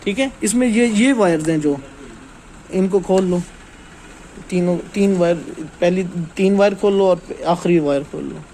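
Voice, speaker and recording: A man's voice: high voice, moderate pace, dark tone, a little hiss or hum, rough recording. A man giving an informal hands-on technical lesson as a trainer, explaining step by step and often checking that listeners follow.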